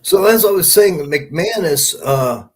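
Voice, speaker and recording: A man's voice: a little high, expressive, takes it slowly, noisy background. A man hosting a podcast in casual chat, telling anecdotes in an informal, emphatic, sweary way. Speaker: podcast host.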